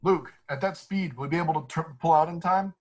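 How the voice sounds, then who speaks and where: A man's voice: on the high side, fairly quick, lightly acted. A man playing a loyal starfighter wingman, anxious under fire, in a dramatic script read. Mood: concerned.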